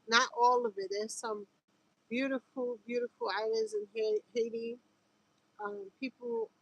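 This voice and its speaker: A woman's voice: a little high, a bit bright, poor audio. A woman with a New York accent chatting casually on a livestream in a rambling, slangy, informal way. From Harlem, New York City, United States. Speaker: livestream host.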